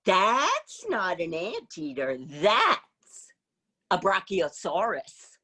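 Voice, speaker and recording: A woman's voice: mid-range voice, wildly swooping pitch, very slow, pushed and bright, heavily acted. A woman reading a children's picture book aloud as a playful, stubborn contrarian with a teasing, emphatic delivery. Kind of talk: animated children's read-aloud.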